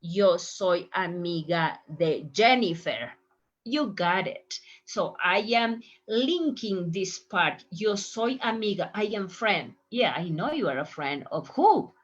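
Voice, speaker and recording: Lady teacher, slow, repeats examples, bouncy pitch, kinda muffled recording. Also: animated. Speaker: Spanish teacher. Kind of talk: online language lesson. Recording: dull lo-fi audio.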